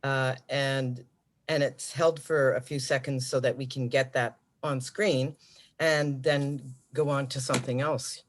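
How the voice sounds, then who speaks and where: A man from an animation studio who explains ideas calmly, step by step with fillers, in a video call meeting. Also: mid-range voice, a little slow.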